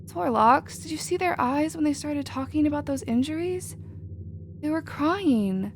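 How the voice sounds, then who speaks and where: A woman playing a protective, nurturing female alien with a casual, expressive manner in a sci-fi ASMR roleplay. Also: sits high, measured pace, a little hiss or hum. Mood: indignant, pleading.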